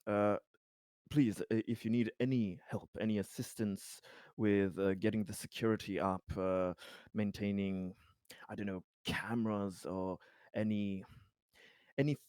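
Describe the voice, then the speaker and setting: A man's voice: lowish voice, fairly even intonation, a little slow, a little mellow, no room sound, studio quality, lightly acted. A man improvising a hesitant, polite, earnest colony official in a sci-fi roleplaying game, stammering and deferential. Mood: grateful, earnest. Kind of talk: improvised in-character tabletop roleplay.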